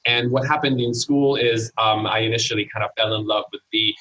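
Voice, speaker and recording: Italian-accented man, bright, hesitant speech. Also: mid-range voice, fairly even intonation, fairly quick, dull audio. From Italy. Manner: thoughtful, hesitant with many fillers. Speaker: guest entrepreneur. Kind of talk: casual interview talk.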